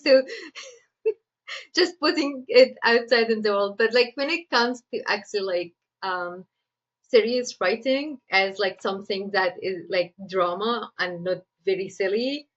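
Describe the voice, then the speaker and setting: A woman's voice: mid-range voice, a little slow, dull audio. Chatty Egyptian-accented woman screenwriter. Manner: chatty, laughs often, lots of "like" fillers. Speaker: screenwriter guest. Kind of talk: casual interview answers.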